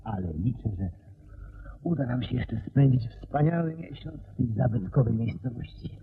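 An actor playing a detective's wary, grumbling doctor companion in a radio play, with expressive delivery. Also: male voice, medium pitch, moderate pace, gravelly, breathy edge, heavily acted. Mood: hopeful. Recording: dull lo-fi radio drama recording.

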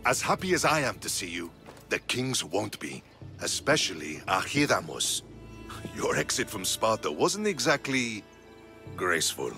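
A loyal Spartan soldier in a video game cutscene. He sounds earnest and respectful, with a bright, forceful tone and animated intonation. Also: fairly low pitch, measured pace, creaky, heavily acted. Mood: confiding. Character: earnest, loyal Spartan officer advising a friend. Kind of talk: dramatic video game acting. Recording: music behind dialogue.

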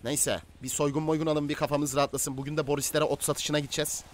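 A man playing a commanding, stern gang boss in improvised game roleplay, domineering and quick to order and threaten. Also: fairly high pitch, flat delivery, a little hiss or hum, natural voice. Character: commanding male crime boss, stern and menacing.

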